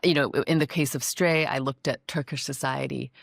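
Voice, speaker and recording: A woman from Hong Kong, a documentary filmmaker, answering interview questions in a thoughtful, measured way. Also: rumbling low, steady tone, gravelly, no echo, studio quality. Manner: thoughtful, measured, frequent "you know".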